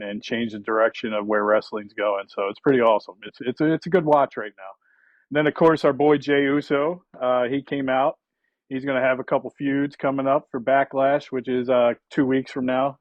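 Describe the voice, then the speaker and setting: A man's voice: mid-range voice, dry recording. A man co-hosting a casual sports podcast, knowledgeable and upbeat as he recaps the news. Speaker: podcast co-host. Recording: dull lo-fi sound.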